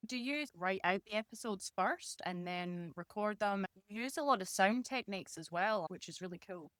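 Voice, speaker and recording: A woman hosts a conversational podcast interview in a medium-pitched voice. She is responsive and encouraging with her questions, and the sound is dry with hardly any room in it. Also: expressive, moderate pace, a bit bright, no room sound.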